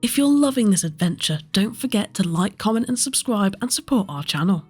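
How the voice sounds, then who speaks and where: A woman's voice: mid-range voice, animated, a little fast, darkish tone, husky, faint music behind. Woman narrating history.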